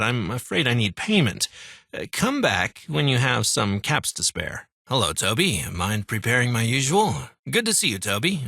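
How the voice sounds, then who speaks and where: An actor playing a courteous, fussy military clinic doctor in a post-apocalyptic video game, with polite, formal bedside patter. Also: male voice, mid-range voice, vocal fry, bright, forceful tone, breathy edge, dry recording, studio quality, full character voice. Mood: pleasantly courteous. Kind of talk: scripted video game dialogue.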